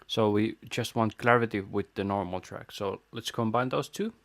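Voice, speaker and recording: A man presenting an audio tutorial, casually explaining a software setup step by step into a close microphone. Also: lowish voice, steady tone, moderate pace, no echo.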